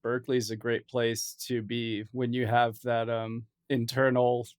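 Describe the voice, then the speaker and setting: A man hosting a podcast interview, chatting in a relaxed, casual way with plenty of filler words. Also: mid-range voice, a little slow, smooth. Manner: laid-back, casual, lots of "like" and "um". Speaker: podcast host.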